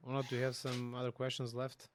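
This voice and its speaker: A man's voice: medium pitch, monotone, quick pace, a little hiss or hum. A native Serbian man hosting an interview podcast in English with a Serbian accent, rambling and thinking aloud with fillers. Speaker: podcast host. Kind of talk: conversational interview.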